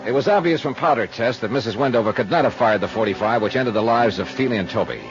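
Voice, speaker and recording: An actor playing a hard-boiled, no-nonsense insurance investigator in a 1950s radio drama, speaking tersely and matter-of-factly. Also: male voice, medium pitch, fast talker, lightly acted. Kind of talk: 1950s radio detective acting and narration. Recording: muffled old radio recording with music.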